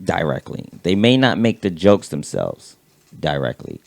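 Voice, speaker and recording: A man co-hosting a casual political chat show, talking with a raspy voice and vocal fry, rambling and repeating words as he swears freely. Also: low voice, huge pitch swings, unhurried, darkish tone. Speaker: podcast co-host.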